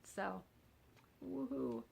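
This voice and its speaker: A congested woman chats casually at home, her voice nasal and a little gravelly. She rambles in a loose, unhurried way and keeps lightly poking fun at herself. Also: a little high, darkish tone. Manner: rambling and wry, with self-mocking humor. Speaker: vlogger. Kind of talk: casual chat.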